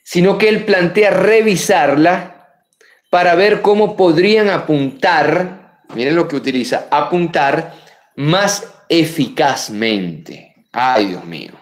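A man in his thirties with a Venezuelan Spanish accent, hosting a live political commentary show from a room lined with bookshelves, speaking emphatically and dropping to quiet asides. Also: fairly high pitch, animated, takes it slowly. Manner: emphatic, rises sharply on key names, drops to quiet asides. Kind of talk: live political commentary reading viewer messages. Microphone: webcam at desk.

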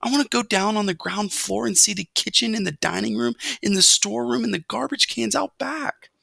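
A woman's voice: medium pitch, a little fast, creaky, bright, forceful tone, no echo, studio quality, pitched above the performer's own voice, full character voice. A woman voicing a plucky, boastful young boy mouse in a children's storybook, eager and defiant.

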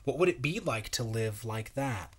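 A man in his thirties reading a children's picture book aloud in a measured, wondering way with pauses. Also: medium pitch, expressive, slight background noise. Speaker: children's story reader. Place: small bright room at home, indoors.

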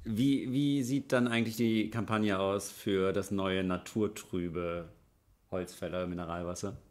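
A man's voice: deep voice, fairly even intonation, unhurried. A man in his thirties improvising a jealous, mocking boss in a stage audio drama, repeating himself incredulously. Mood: probing. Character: Thorsten, jealous, mocking boss of a small business. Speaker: improv actor. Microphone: stand microphone at a table.

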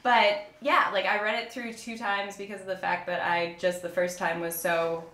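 A woman's voice: medium pitch, flat delivery, moderate pace, a bit bright, a little hiss or hum. A woman who acts, chatting informally and hesitantly at a casual roundtable discussion. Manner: informal, hedging, peppered with "like". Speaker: voice actress on a podcast panel. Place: room, group around a table. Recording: roomy raw recording.

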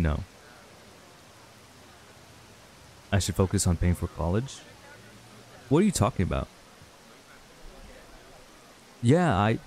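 A man acting a stressed, earnest young runaway in an intimate audio roleplay, speaking haltingly with sighs. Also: sits low, darkish tone. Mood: earnest, bargaining. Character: stressed young man, frustrated runaway reuniting with childhood friend.

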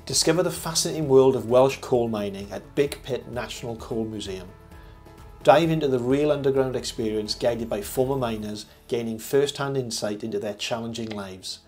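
An older man reading a scripted review voice-over as host, in a measured, formal presenter manner. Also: mid-range voice, darkish tone. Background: background music.

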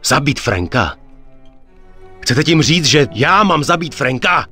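An actor playing a calm, loyal gangster of few words in a video game cutscene, terse and restrained. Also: male voice, on the high side, faint music behind. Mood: troubled disbelief. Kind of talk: dramatic game cutscene dubbing.

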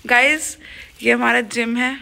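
A woman's voice: a little high, fairly even intonation, a little slow, creaky. A woman, chatting casually and playfully to her vlog viewers on a phone. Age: 20s. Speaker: vlogger. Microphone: phone at arm's length. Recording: noisy handheld vlog with traffic and ambience.

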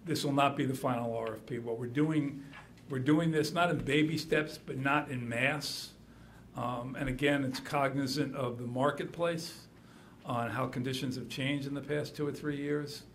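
A man presenting to a city council from a podium microphone, measured and full of ums, with small self-deprecating asides. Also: medium pitch, fairly even intonation, a little hiss or hum. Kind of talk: formal public meeting remarks. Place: council chamber, standing at podium.

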